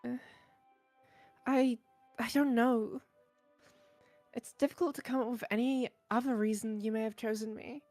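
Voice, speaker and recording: Woman voicing a shy, high-voiced anxious schoolgirl. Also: fairly even intonation, darkish tone, dry recording, soft background music. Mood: shy, earnest. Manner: hesitant, halting. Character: shy, anxious, soft-spoken teenage schoolgirl. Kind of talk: visual novel voice acting.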